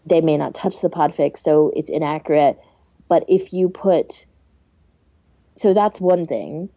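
A woman's voice: low-pitched, unhurried, dark tone, no room sound. A woman co-hosting a podcast in a casual, informal chat, giving her opinions freely on a dull recording. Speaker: podcast host.

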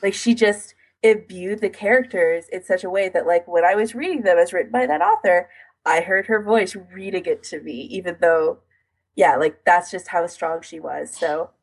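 A woman's voice: lowish voice, moderate pace, breathy edge, low-quality recording. A woman co-hosting a podcast in casual, informal chat, sprinkling her talk with "like". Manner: informal, frequent "like" as filler. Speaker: podcast co-host.

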